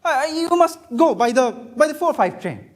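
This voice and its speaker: A man's voice: very high voice, very animated, moderate pace. Guy acting as fed up stern victorian gent, indignant and formal, stage play. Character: stern, exasperated Victorian gentleman. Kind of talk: amateur stage comedy acting.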